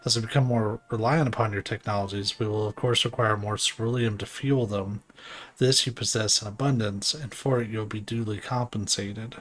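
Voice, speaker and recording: A man voicing a courteous, dignified ruler in a video game, with formal, archaic, measured diction. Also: lowish voice, fairly even intonation, fairly bright tone. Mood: formal, earnest.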